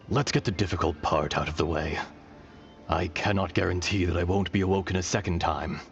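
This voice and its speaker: An ancient earth dragon, grave and fatalistic, very deep and unhurried, music behind. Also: male voice, fairly even intonation. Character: ancient weary male earth dragon, solemn. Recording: dull game audio with music.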